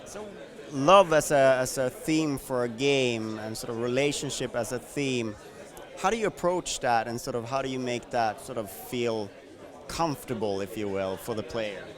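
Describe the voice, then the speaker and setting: A man's voice: mid-range voice, normal speed. Man, interviewer, rambling questions, outdoor city, faint crowd. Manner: loose, rambling questions with repeated words.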